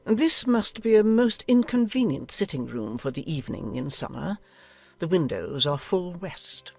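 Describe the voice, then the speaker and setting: A woman's voice: fairly low pitch, expressive, a little slow, vocal fry, darkish tone, soft background music, full character voice. A woman playing a haughty, imperious, ill-tempered older noblewoman in an audiobook drama, speaking in a stiff, formal, commanding way. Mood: stiff, disdainful.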